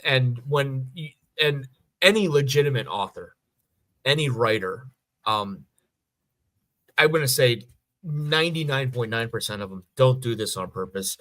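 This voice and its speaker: Middle-aged man, bright forceful voice, casual podcast talk, halting delivery, dry close sound. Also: medium pitch, no room sound. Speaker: podcast co-host.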